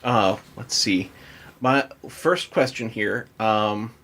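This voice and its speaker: A man's voice: lowish voice, takes it slowly, a bit bright. A man hosting a podcast in a casual interview style, chatty and enthusiastic in manner. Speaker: podcast host.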